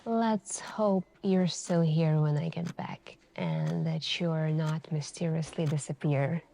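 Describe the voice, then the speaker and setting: A woman doing an ASMR roleplay as a suspicious female detective, questioning in a deliberate, pointed way with many pauses. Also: sits low, mellow, slight background noise. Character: calm, suspicious, probing female police detective. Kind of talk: ASMR interrogation roleplay, slow deliberate delivery.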